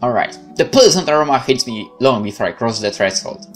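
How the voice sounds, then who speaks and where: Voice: mid-range voice, lively intonation, a little fast. Gamer doing a let's play, reading out story text, hesitant casual asides, music faintly behind. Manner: hesitant, thinking aloud with asides. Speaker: gaming video host. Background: game music.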